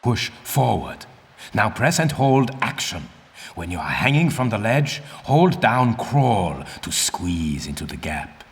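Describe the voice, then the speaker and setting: An older, stern archaeologist guides a student in a video game. His voice is low and rolls widely in pitch. He is formal, pompous and condescending, echoing in a long cavernous reverb. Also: big pitch swings, slow pace, heavily acted. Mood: grave, imposing. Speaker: mentor and guide. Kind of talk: video game dialogue and tutorial instruction.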